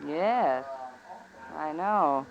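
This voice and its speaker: An actress playing a wry, needling gambler's companion in a 1950s radio western, with a teasing, sardonic manner. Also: female voice, low voice, lively intonation, full character voice. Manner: teasing, sardonic, drawn-out delivery. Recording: dull old radio recording with crowd noise.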